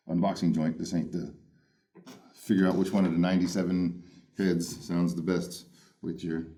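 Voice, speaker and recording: A loud, big-voiced man hosting a casual, playful unboxing of earphones. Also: low-pitched. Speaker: headphone reviewer. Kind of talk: casual unboxing first impressions.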